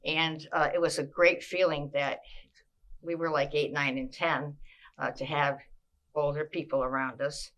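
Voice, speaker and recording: An older woman from Massachusetts, recalling her childhood in an oral history interview, with a measured pace and frequent pauses. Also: low voice, little pitch movement, fairly bright tone.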